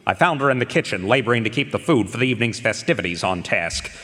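Man acting a animated noir private eye. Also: mid-range voice. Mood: wry narrating. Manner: wry, measured, storytelling. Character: down-on-his-luck male private eye, self-assured narrator. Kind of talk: noir narration in live radio drama. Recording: echoey concert hall with music.

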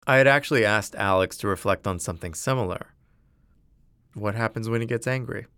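Earnest low-voiced male host, dry sound. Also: a bit bright, smooth, no room sound. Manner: measured, earnest, probing questions. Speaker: host and narrator. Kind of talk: reflective documentary narration and interviewing. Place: lives in a city neighborhood.

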